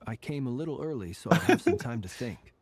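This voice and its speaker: A man voicing a calm, earnest fae warrior in a game, speaking in a measured, formal way. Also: medium pitch, a crowd in the background. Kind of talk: video game voice acting. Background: game music.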